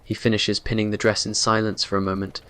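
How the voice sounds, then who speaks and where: Low, even-toned man narrating romance. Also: fast talker, clean tone. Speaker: story narrator. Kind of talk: scripted dramatic narration, romantic ASMR roleplay.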